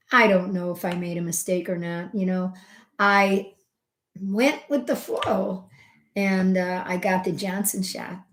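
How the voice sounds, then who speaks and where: A middle-aged woman, a homeopath, talks to her viewers in a loose, chatty way, full of fillers and side remarks. She jumps between loud, emphatic bursts and quiet asides at a mid pitch. Her voice rings a little in a roomy space. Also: slow, long pauses. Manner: expressive, swings from loud emphasis to soft asides. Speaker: homeopath hosting a health channel. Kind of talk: casual personal storytelling to camera. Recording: roomy echo.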